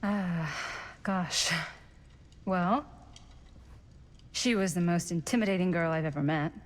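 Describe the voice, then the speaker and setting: Low-voiced, reflective middle-aged American woman. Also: slow pace. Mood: gentle, reflective. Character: reflective middle-aged woman, frank, carrying old memories. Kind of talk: video game cutscene acting. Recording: music under the dialogue. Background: background music.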